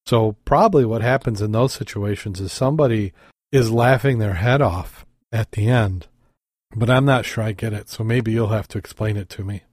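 A man's voice: fairly low pitch, moderate pace, darkish tone, dry recording, clean studio sound. Easygoing male podcast host. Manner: easygoing, chuckles, self-doubting. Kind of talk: casual chat, reading a joke aloud.

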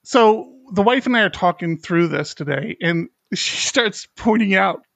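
A middle-aged man hosting a podcast in a candid, halting way, with a slight speech impediment and many restarts. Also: high-pitched, expressive, creaky, fairly bright tone, dry recording. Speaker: podcast host. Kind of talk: casual chat.

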